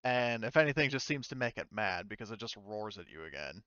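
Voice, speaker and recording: A man's voice: lowish voice, expressive, a little fast, pushed and bright, smooth, no room sound, muffled recording. A man working as game master, talking casually through rules and scene narration in an informal way.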